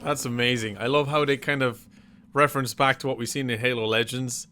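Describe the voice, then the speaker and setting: A man's voice: mid-range voice, steady tone, brisk, sharp tone, clear, resonant tone. A man hosting a reaction video with casual, slangy, enthusiastic commentary.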